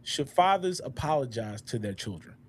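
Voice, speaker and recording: A man in his thirties hosting a casual panel talk, speaking in a reflective, unhurried way with many "uh"s and a loud voice. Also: medium pitch, slight background noise. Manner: relaxed, reflective, frequent "uh" and "all right?". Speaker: podcast host.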